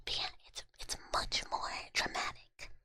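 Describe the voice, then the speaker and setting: A young woman streamer whispering casually as she answers chat, with lots of "like" and loose fillers. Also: measured pace. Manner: soft whisper, casual filler-heavy talk.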